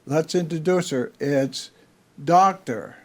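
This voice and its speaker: A man's voice: a little high, slow. An older man hosting a casual community TV interview, joking and telling anecdotes. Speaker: talk show host.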